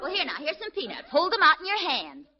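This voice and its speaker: A woman's voice: high voice, huge pitch swings, quick pace, a loud crowd. A woman playing a prim, exasperated mother who coaxes and scolds in 1940s radio comedy. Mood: coaxing. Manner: proper, scolding, coaxing. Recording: muffled, noisy vintage radio recording, studio audience.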